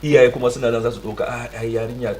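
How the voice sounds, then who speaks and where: A Nigerian man speaking as a radio studio guest in an emphatic, halting way, often repeating words as he explains. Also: mid-range voice, steady tone, darkish tone. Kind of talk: radio interview in Hausa and English.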